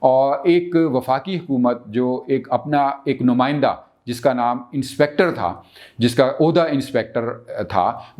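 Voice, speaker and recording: A man with a Pakistani accent speaks to viewers as a political analyst and show host, deliberately and with frequent drawn-out hesitations. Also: medium pitch, darkish tone. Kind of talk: political commentary and storytelling to viewers.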